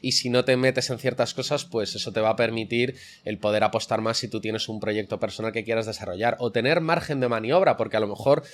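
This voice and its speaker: A man's voice: lowish voice, steady tone, brisk, few pauses. A young man from the Madrid area with a central Spanish accent, giving long, explanatory answers as an interview guest. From Madrid area, Spain. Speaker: interview guest, online fitness coach.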